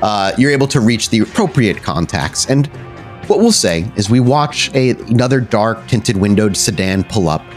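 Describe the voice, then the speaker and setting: A man's voice: lowish voice, sing-song, moderate pace. A man narrating a tabletop horror game as storyteller, in a casual, conversational style. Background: faint music.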